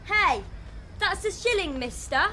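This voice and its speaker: A woman's voice: extremely high pitch, animated, a little slow, bright, forceful tone, a little hiss or hum, full character voice. A woman playing a bold young Victorian street newspaper seller hawking papers in a stage drama. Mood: brash hawking. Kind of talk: stage period drama acting.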